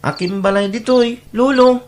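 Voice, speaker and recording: A woman's voice: a little high, measured pace, darkish tone, slight background noise, natural voice. A woman voicing an innocent young grandchild in a family radio drama, respectful and full of plaintive questions. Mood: innocent, uncertain.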